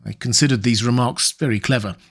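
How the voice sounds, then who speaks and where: A man narrating a classic novel as an audiobook in a measured, even reading. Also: mid-range voice.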